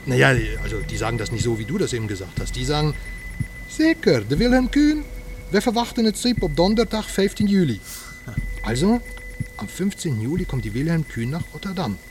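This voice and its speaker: A man's voice: a little high, lively intonation, fairly quick, background noise. A man playing a detective's chatty, irreverent friend in a crime radio drama, talking in a wry, breezy, colloquial way. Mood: wry, playful.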